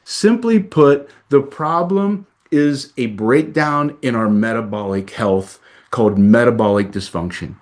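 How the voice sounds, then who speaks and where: A man at a mid pitch for his sex, reading a prepared health pitch slowly and with stress on key words. He presents as a doctor, each line deliberate and polished, like a rehearsed webinar script. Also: a little mellow.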